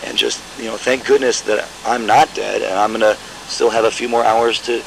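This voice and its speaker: Low gravelly man, halting and reflective. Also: fairly even intonation, fairly bright tone, slight background noise, rough recording. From Michigan. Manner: reflective, halting with many restarts. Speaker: musician interviewee. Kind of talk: casual interview answers.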